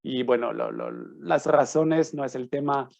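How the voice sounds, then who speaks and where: A man's voice: mid-range voice, measured pace, vocal fry, darkish tone. Swiss man lecturing in learned Spanish. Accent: Swiss, speaking Argentine-learned Spanish. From Switzerland. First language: not Spanish. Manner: hesitant, frequent restarts and fillers. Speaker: guest lecturer, lawyer. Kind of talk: online lecture. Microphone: computer mic on video call. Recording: slightly dull lo-fi sound.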